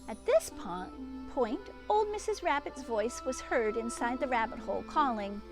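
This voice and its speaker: A woman with a high voice reads a children's storybook aloud. Her delivery swings widely in pitch and is full of expression, with chatty asides to young listeners. Music plays behind her. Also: lively intonation, normal speed.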